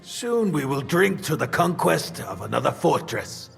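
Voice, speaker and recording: An actor playing an eager, boastful medieval man-at-arms with a very creaky voice in a game cutscene. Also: a little high, sing-song, unhurried, in a large hall, soft background music, exaggerated voice.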